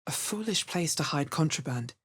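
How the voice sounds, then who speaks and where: A guarded adventurer heroine from a fantasy video game. Her voice sits on the low side and has a strong husky rasp. She speaks in a measured, wary, dry way, as a seasoned spellcaster would. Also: lively intonation, a bit bright, full character voice. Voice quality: husky, bass-heavy. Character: guarded, low-voiced adventurer woman, player hero who casts spells.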